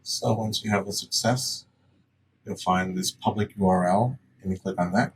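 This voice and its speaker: A man with a low voice walks through software steps like a casual tutorial presenter. He is plain-spoken and instructional. He sounds a little away from the mic, with faint noise behind him. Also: fairly even intonation, normal speed, distant mic, low-quality recording.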